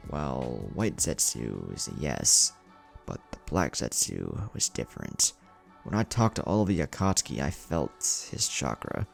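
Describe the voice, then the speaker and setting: Man reading an easygoing, low-voiced young ninja. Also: sing-song, measured pace, gravelly, faint music behind, heavily acted. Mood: uneasy. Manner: casual, musing. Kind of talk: fan fiction story reading.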